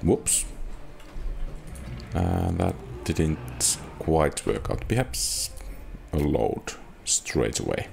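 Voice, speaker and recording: A man's voice: very deep voice, lively intonation, very slow, vocal fry, a little mellow, a little hiss or hum. A man giving casual commentary while playing a video game, thinking aloud in a muted way. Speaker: gamer narrating own playthrough. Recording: game music behind the voice. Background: video game music.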